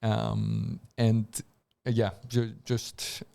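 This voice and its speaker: Gravelly halting man from Colombia. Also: lowish voice, monotone, mellow. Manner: halting, many restarts and repeated words. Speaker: guest engineer. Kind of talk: casual radio interview.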